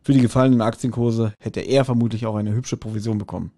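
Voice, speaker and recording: Man chatting casually, mellow voice. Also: on the low side, steady tone, fast. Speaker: podcast co-host. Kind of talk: casual review chat, retelling a plot.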